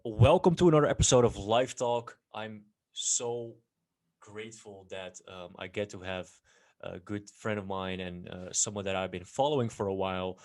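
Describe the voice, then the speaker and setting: Low-voiced Dutch-accented man hosting. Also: moderate pace, a little mellow. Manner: hesitant, many fillers and restarts. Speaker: podcast host. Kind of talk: casual interview.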